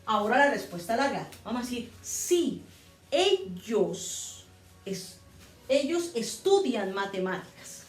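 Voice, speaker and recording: Female teacher, fairly high voice, patient step-by-step explainer, roomy echo, soft music behind. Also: big pitch swings, slow. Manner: patient, repeats and spells out steps. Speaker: English teacher. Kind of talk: grammar lesson explanation.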